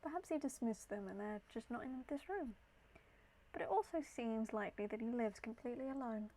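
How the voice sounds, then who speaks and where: A woman with a slightly croaky voice, hosting a casual game playthrough with commentary and read-aloud text. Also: a little high, fairly quick, dark tone, a little hiss or hum, rough recording. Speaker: gaming video host. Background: background music.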